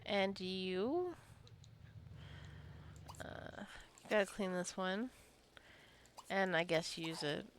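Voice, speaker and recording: A woman's voice: medium pitch, drawn-out words, long pauses, a little hiss or hum. A woman with a mid-range voice gives casual commentary over a game she is playing. She talks to the characters directly, teasing and scolding them, and drifts into chatty asides. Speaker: gamer narrating her own gameplay video. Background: life simulation game being played.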